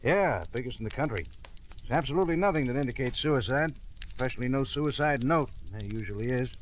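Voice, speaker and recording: Actor as a fast-talking, blunt radio cop. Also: male voice, mid-range voice, lively intonation, close to an everyday voice. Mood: brisk, wry. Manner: terse, blunt, wry. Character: brisk, matter-of-fact male police detective. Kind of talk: old-time radio drama acting. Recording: old radio recording with faint noise.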